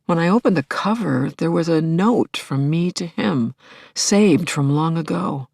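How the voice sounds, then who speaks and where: Audio play lead, middle-aged widow in mourning. Intimate, questioning monologue, slow delivery, gravelly edges. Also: female voice, low-pitched, animated, darkish tone, no echo, studio quality, exaggerated voice. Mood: wondering, hushed. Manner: intimate, searching, dropping into breathy whispers. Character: grieving middle-aged widow telling her story, searching and wistful. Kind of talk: dramatic first-person monologue, audio play.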